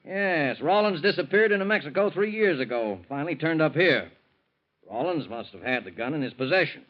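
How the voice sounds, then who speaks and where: A brisk American police captain acted by a man reports in a plain, colloquial, businesslike way. It is vintage radio drama with a muffled, narrow sound. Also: fairly high pitch, animated, close to an everyday voice. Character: matter-of-fact, businesslike male police captain. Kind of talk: 1940s radio acting. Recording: muffled old radio broadcast.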